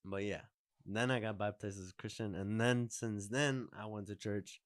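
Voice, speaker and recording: A man's voice: on the low side, fairly even intonation, normal speed, no room sound, studio quality. A man in his twenties hosting a podcast, chatting casually, rambling and restarting his sentences. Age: 20s. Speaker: podcast host. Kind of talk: casual chat.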